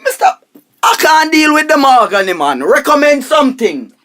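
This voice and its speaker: A man playing a pompous, penny-pinching, excitable husband and money minister with a Jamaican accent in a comic radio drama, blustering and repeating himself. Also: very high-pitched, normal speed, heavily acted. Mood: outraged. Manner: blustering, repetitive, pompous, exclaiming.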